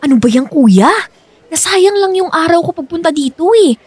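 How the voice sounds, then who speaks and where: A woman playing a petulant, impatient young sister with a husky voice and a whining manner, in a Filipino radio drama. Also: extremely high pitch, lively intonation, moderate pace, darkish tone, no echo. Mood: annoyed, impatient.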